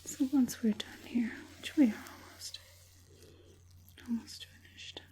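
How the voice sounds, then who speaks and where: A woman playing a sinister, coaxing witch puppet master in a whispered ASMR roleplay, speaking softly and deliberately in a breathy whisper. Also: on the high side, lightly acted. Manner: slow, soothing, deliberate, menacingly sweet.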